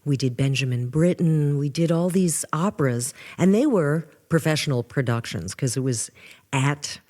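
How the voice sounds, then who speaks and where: An older woman from New with a low voice, telling lively stories as a guest in a casual interview. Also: normal speed. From New York. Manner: animated storyteller, restarts often. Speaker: guest musician.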